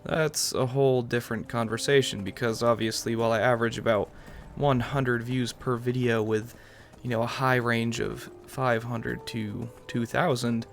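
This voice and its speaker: A man's voice: mid-range voice, flat delivery, moderate pace, gravelly, hardly any pauses, faint music behind. A man giving casual, rambling commentary over a roleplay game playthrough in a wry manner. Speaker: gaming YouTuber.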